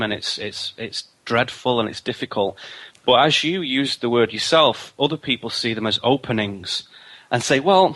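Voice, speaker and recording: A man speaking as a guest in a radio interview, measured and reflective, often repeating words as he gathers his thoughts. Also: medium pitch, sharp tone, poor audio. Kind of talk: reflective spiritual interview talk.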